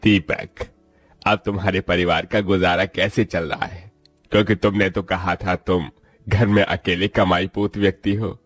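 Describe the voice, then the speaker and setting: An actor playing an office boss in a story, speaking to an employee in a measured, inquiring way. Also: male voice, sits low, little pitch movement. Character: office boss questioning his injured employee.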